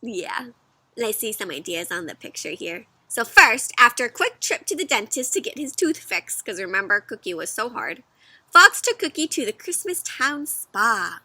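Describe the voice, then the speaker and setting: Bright, animated woman reading to children. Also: fairly high pitch, moderate pace, husky, dry recording. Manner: expressive, asks the listener questions. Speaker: storybook reader for children. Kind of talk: interactive children's read-aloud.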